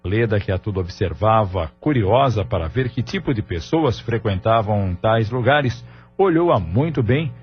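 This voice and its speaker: A man's voice: lowish voice, expressive, measured pace. A man reading scripted narration for an audio drama as its narrator. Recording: muffled studio narration over music.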